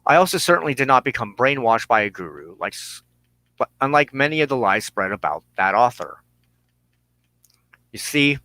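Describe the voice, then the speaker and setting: A man hosting a religious broadcast, giving a solemn, measured sermon of personal testimony and teaching with emphatic phrasing and deliberate pauses. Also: mid-range voice, sharp tone, dry recording, poor audio.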